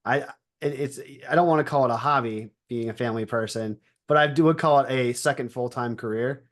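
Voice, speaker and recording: A man of middling pitch hosts an interview podcast. He asks questions in an easygoing, folksy way. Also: brisk. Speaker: podcast host. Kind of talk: interview hosting.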